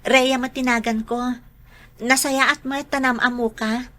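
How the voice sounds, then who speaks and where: Woman as a polite new cashier, Ilocano accent, slightly high voice. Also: steady tone, a little slow. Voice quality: polite, soft-spoken.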